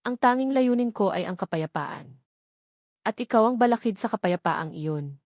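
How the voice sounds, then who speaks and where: A woman's voice: mid-range voice, animated, darkish tone, no room sound, studio quality, natural voice. Woman reading as a cold, calculating male mastermind. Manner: cold, measured.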